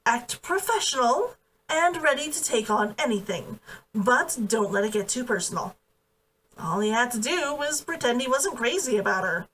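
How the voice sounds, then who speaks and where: A woman's voice: fairly high pitch, a bit bright. A woman reading fiction aloud in a dramatic, emphatic storytelling style. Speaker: author reading own book aloud. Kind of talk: expressive storybook narration. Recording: voice distant from the mic.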